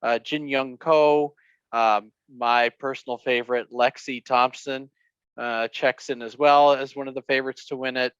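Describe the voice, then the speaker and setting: A man co-hosting a casual golf podcast, going over the news with a lot of fillers and pauses. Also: mid-range voice, fairly even intonation, a bit bright, clean tone, dry recording, highs cut off. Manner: hesitant, many fillers. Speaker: podcast co-host. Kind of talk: casual sports chat.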